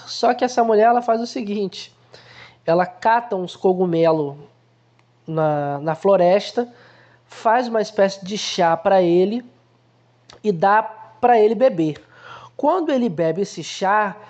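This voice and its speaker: A man's voice: high voice, a little mellow, dull audio. A man hosting a casual film analysis, explaining ideas conversationally and acting out everyday examples.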